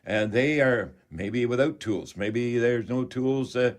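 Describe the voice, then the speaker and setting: A man's voice: mid-range voice, slow pace. An older man with a Canadian accent hosts a home improvement radio call-in show in a chatty, folksy way, talking straight to his listeners.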